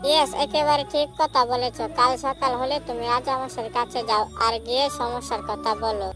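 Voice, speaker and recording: An actress voicing a farmer's practical, worried wife in a cartoon who speaks urgently and gives advice. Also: female voice, high-pitched, fairly even intonation, brisk, natural voice. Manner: urgent and advising. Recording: background music.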